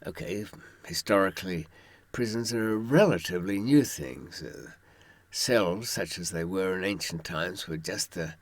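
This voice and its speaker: A man's voice: sits low, measured pace, no room sound. A man telling anecdotes and giving advice in an informal monologue, with wry, dry humour and asides to the listener. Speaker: author giving prison survival advice from experience.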